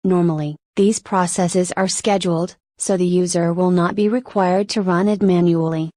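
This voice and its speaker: A woman reading scripted instructional narration for a software tutorial in a measured, step-by-step manner. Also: on the low side, fairly even intonation, no echo, clean studio sound.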